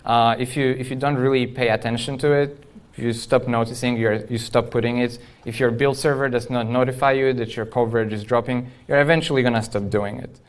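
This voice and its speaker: Guy doing a tech conference talk, really clear smooth voice, mid pitch, kinda opinionated and chatty. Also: steady tone, brisk. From Poland. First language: Polish. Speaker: conference speaker, software engineer. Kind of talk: informal technical conference talk.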